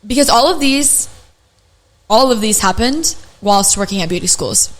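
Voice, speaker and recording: A young adult woman with a raspy voice, hosting a podcast and telling stories in casual chat full of fillers and restarts. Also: mid-range voice, expressive, normal speed. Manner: chatty storyteller, many fillers and restarts. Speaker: podcast host.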